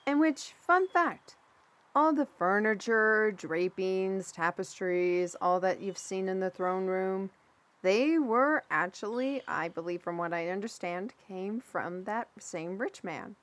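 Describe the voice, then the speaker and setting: A woman's voice: medium pitch, lively intonation, takes it slowly. A woman acting as an amused female storyteller in an audio drama, recounting old royal lore. She takes her time, chatting along with wry asides and a touch of drama. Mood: amused storytelling. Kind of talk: in-character storytelling, audio roleplay.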